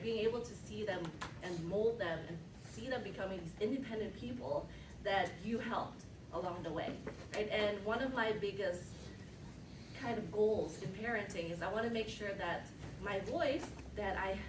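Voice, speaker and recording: A woman leading a team meeting, giving an animated motivational talk that keeps checking in with "right?". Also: mid-range voice. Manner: animated, often asks "right?". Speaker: team leader. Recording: echoey room from far off, noisy.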